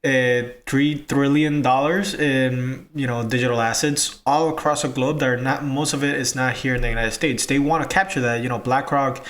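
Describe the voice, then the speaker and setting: A man hosting a casual commentary video, slightly hoarse from a cold, upbeat and chatty. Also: mid-range voice, steady tone, normal speed, hardly any pauses, a bit bright. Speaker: crypto video host.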